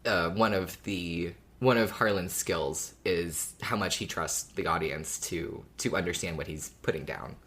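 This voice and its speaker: Co-host, man, deep voice, loose rambling fan chat with frequent laughs. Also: a little slow, few pauses, fairly bright tone. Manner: thoughtful, rambling with many "like"s, laughs often. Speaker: podcast co-host. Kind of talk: casual fan podcast chat.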